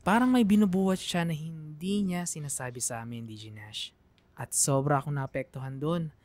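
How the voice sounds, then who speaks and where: A woman's voice: low voice, normal speed, a little mellow, no room sound. A woman with a Filipino accent giving an emotive, reflective scripted narration for a radio drama.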